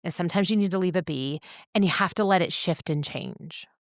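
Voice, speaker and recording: A woman co-hosting a podcast, talking reflectively in an earnest, deliberate way with emphatic phrasing and pauses. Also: fairly low pitch, no echo, clean studio sound. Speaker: podcast co-host.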